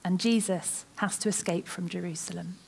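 A woman's voice: on the low side, steady tone, normal speed, vocal fry, a little mellow, husky, rough recording. A woman giving a sermon in church into a microphone, opening with a chatty, self-deprecating story. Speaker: preacher.

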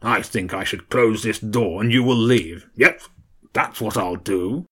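A man voicing a grumpy male badger king with a deep, gravelly, menacing voice in a storybook reading. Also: unhurried, fairly bright tone. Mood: gruff. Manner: menacing, suspicious.

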